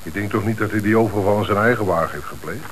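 Low-voiced junior detective played by a man. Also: fast, fairly bright tone, close to an everyday voice. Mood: inquiring. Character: questioning junior detective, deferring to his chief. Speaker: actor. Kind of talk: Dutch radio play acting. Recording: noisy, clicking old radio recording.

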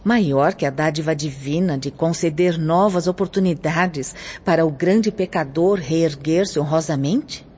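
A woman's voice: low voice, darkish tone, husky. A woman acting a grave spirit teacher in a radio drama, explaining moral law in a solemn, didactic declamation. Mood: grave, instructive. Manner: solemn, didactic, measured emphasis. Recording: muffled lo-fi audio with music behind. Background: background music.

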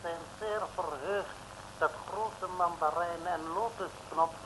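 Fake-polite scheming villain, broken dutch, drawn out and high, old noisy radio comedy. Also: male voice, slow. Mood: oily, polite. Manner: ceremonious, stilted broken Dutch with ornate phrases.

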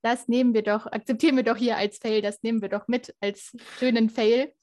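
Woman co-host, slightly high voice, jokey, reasons aloud. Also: steady tone, brisk, darkish tone. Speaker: podcast co-host. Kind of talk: casual podcast chat.

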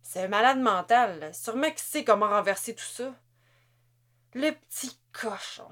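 A woman's voice: on the high side, expressive, a little slow, fairly bright tone. Woman playing a cruel knife-wielding male soldier, sneering, audiobook. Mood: menacing. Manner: menacing, sneering.

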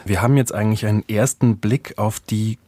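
A man's voice: on the low side, fairly even intonation, a little fast, mellow, no echo. A man interviewing on an academic subject, methodically recapping and framing questions in conversational but learned language. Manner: methodical, recaps and structures the discussion.

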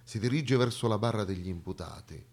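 A man performing a theatrical comic monologue as storyteller, with dramatic pauses, moving between hushed and emphatic delivery. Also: fairly low pitch.

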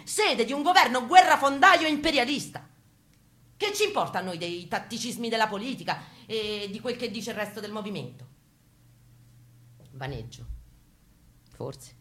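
A woman giving a theatrical, expressive first-person reading from a book, in a storytelling style. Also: medium pitch. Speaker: reader performing a book excerpt. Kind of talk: dramatic first-person literary reading with guitar. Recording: live reading over acoustic guitar. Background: acoustic guitar music.

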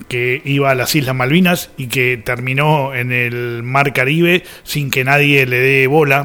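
A man's voice: mid-range voice, pushed and bright. A man with an Argentine accent hosting a radio show in a casual, conversational way that tends to digress.

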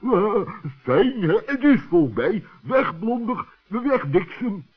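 A man voicing a timid, simple-hearted storybook companion with a husky voice and a naive manner in a children's radio tale. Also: high voice, sing-song, slow, lo-fi sound, voice pushed up, exaggerated voice. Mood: relieved.

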